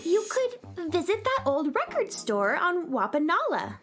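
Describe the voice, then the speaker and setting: A woman's voice: very high-pitched, huge pitch swings, a little slow, soft background music, heavily acted. A woman voicing a cheerful, chatty young heroine in a children's radio play. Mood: coaxing. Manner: bright, chatty, stalling.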